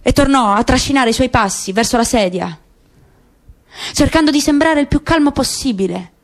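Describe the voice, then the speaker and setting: A woman narrating a literary radio reading in a measured, unhurried storytelling manner. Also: high-pitched, expressive.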